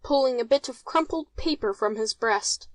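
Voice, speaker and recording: A woman's voice: a little high, normal speed, dry recording. A woman reading out a play's stage directions as scripted narration.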